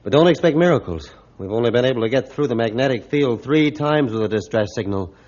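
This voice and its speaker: An actor playing a stern, authoritative ship's captain in a 1950s radio drama, speaking formally and emphatically. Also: male voice, mid-range voice, expressive, fast talker, a little mellow, close to an everyday voice. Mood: measured. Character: stern, commanding male ship's captain, scornful of fools. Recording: dull, lo-fi old radio recording.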